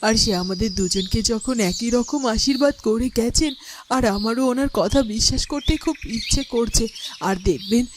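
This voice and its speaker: Woman playing a gentle, earnest cartoon village mother. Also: on the high side, normal speed, natural voice. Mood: hopeful. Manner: polite, earnest, coaxing. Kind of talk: fairytale cartoon dubbing. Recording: noisy track with birdsong behind.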